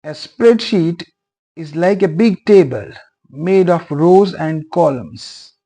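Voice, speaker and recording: Young guy, first language urdu, doing a beginner tutorial, super slow, dark mellow voice, says uh a lot. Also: a little high, lively intonation. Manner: earnest, repeats phrases, many fillers. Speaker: teacher. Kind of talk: explaining a tutorial.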